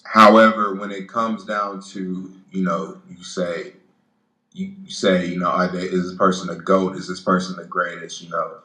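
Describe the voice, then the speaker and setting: A man's voice: low-pitched, little pitch movement, normal speed, pushed and bright, reverberant, poor audio. A man in his late twenties, a guest in a casual chat, blunt and profane, stumbling through restarts. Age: 20s.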